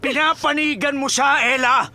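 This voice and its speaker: High-pitched hot-tempered domineering father. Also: fairly even intonation, normal speed, a little hiss or hum, heavily acted. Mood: angry scolding. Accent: Filipino. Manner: scolding, emphatic. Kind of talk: radio drama acting. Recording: loud dramatic music behind. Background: dramatic music.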